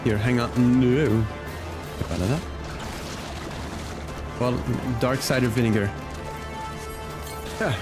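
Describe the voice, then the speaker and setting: A man streams a game with music clearly playing behind him. He talks in a casual, friendly way straight to his chat and thinks aloud as he plays. His voice sits at an ordinary male pitch. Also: takes it slowly, long pauses. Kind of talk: casual chat and gameplay commentary for viewers.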